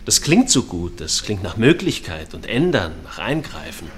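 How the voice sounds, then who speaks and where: Opinionated critic, excitable and emphatic, animated intonation, radio drama debate. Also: male voice, on the low side, normal speed. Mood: incredulous, emphatic. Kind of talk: radio play dialogue.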